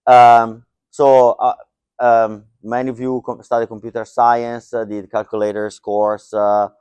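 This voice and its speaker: A man's voice: mid-range voice, little pitch movement, a little slow, darkish tone, clear, resonant tone, no echo. A middle-aged man giving a joking, loose tech talk to a live audience, with lots of fillers. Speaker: conference speaker. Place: lecture hall.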